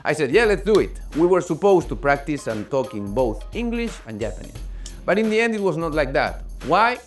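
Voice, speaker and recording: A young man from Spain with a Spanish accent, telling a casual, animated vlog story in English. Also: fairly high pitch, fairly quick, soft background music. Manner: animated, talkative, acts out quoted voices. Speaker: vlogger.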